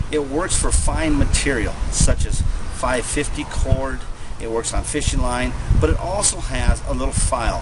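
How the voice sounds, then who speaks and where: A man with a middle-pitched voice explains survival gear in a plain, matter-of-fact way, sometimes restarting a thought. He is recorded outdoors, with steady traffic and road noise clearly heard behind him. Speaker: host showing survival gear. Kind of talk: unscripted how-to talk to camera.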